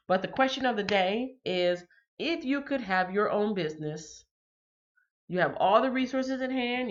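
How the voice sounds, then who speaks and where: A woman's voice: medium pitch, lo-fi sound. A woman hosting a casual livestream, chatting with viewers and reading their comments, her voice a little congested. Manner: chatty, reads out viewer comments, frequent ums. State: slightly congested. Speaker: livestream host.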